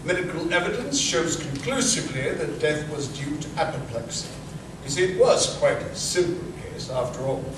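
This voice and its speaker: Man acting complacent army major, brisk, matter-of-fact, old-time radio acting, distant mic, noisy recording. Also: on the high side, expressive, heavy reverb, lightly acted. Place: live performance in a museum.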